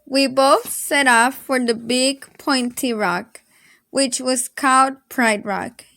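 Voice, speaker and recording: A woman reading a children's story aloud in an expressive, dramatic storytelling manner. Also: high voice, slow pace, no echo. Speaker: storybook reader.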